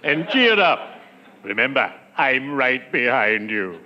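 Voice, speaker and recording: Drawling, deadpan undertaker on noisy old radio. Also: male voice, medium pitch, muffled recording. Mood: deadpan gloom. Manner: formal, drawn-out, deadpan. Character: lugubrious male undertaker friend, dry and unhurried. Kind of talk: 1940s radio comedy acting.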